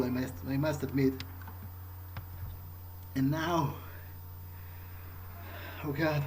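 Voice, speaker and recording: A man plays a bewildered young male vampire from a video game, musing to himself. His voice is a little high and very slow, with halting, rambling phrases and a dry, sarcastic streak. There is faint noise behind him. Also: warm tone, poor audio. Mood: dazed, musing, then rueful. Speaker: gaming role-player. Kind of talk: in-character improvised game monologue, thinking aloud.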